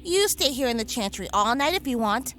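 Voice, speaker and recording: A woman playing a brisk, teasing, easily exasperated vampire researcher in an audio drama. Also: fairly high pitch, animated, background noise, close to an everyday voice.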